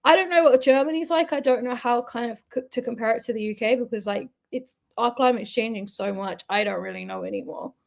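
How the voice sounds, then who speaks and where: Chatty British woman with gravelly fry. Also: on the high side, brisk, few pauses. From United Kingdom. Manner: chatty, rambling, many fillers. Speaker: co-host. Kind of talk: casual chat.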